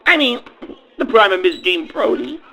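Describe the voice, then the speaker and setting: A man's voice: sits high, big pitch swings, moderate pace, vocal fry, sharp tone, exaggerated voice. A man playing a vain, melodramatic young Southern pageant queen with a theatrical, gushing delivery in a comic radio play. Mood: anxious, gushing. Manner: theatrical, gushing, shrieking when upset.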